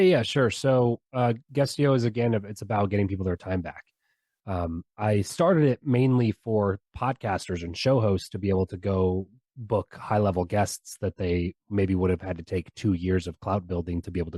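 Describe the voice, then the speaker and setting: A man talking as a podcast guest and founder, animated and persuasive, with loose slangy phrasing. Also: fairly low pitch, moderate pace, dry recording. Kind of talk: casual interview talk.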